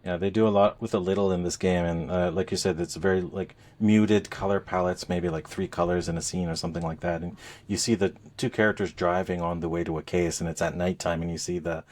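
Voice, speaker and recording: A man hosting a podcast, giving a casual game review in a halting, conversational way with pauses mid-phrase. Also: sits low, fairly even intonation, darkish tone. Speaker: podcast host reviewing games.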